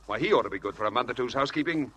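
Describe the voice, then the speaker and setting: Actor as glib, animated charmer husband, old radio. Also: male voice, medium pitch, rapid-fire, close to an everyday voice. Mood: flippant, evasive. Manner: flippant, glib, coaxing. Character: charming, feckless spendthrift husband, breezy and evasive. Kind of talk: 1940s radio drama acting. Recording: hissy old radio broadcast.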